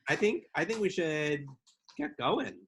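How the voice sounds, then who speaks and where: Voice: mid-range voice, very animated, unhurried, creaky. A podcast co-host on a video call during a live stream, making dry, wry asides. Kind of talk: live-stream casual chat.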